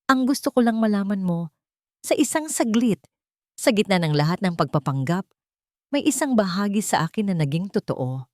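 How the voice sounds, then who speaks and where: A woman's voice: mid-range voice, lively intonation, moderate pace, no echo, close to an everyday voice. A remorseful young Filipino woman confessing in a dramatic story, as a woman narrator reads her. She is wistful and solemn, with a dry, close sound.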